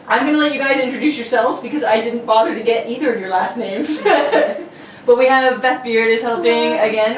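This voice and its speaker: A woman hosting and announcing a script with playful, jokey delivery. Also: on the high side. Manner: playful, giggly asides. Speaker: host and announcer. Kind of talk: jokey introduction and old-radio script reading. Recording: roomy, distant mic with faint noise.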